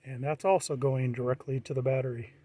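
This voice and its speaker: A man's voice: medium pitch, steady tone, fairly quick, dark tone, dry recording. A middle-aged American man giving a casual, unscripted walkthrough in a plain-spoken, matter-of-fact way. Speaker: hobbyist presenter.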